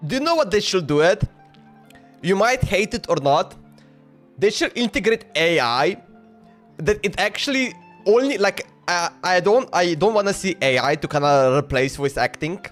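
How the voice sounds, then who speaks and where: High-pitched man casually streaming a game. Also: lively intonation, normal speed, fairly bright tone. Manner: rambling, repetitive, informal. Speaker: live streamer. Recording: faint game music behind.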